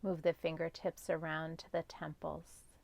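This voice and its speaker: A woman guides a yoga nidra meditation in a lowish voice with noticeable creak. She speaks very slowly and gently, leaving long pauses between measured instructions. The sound is close and dry. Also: flat delivery, no echo.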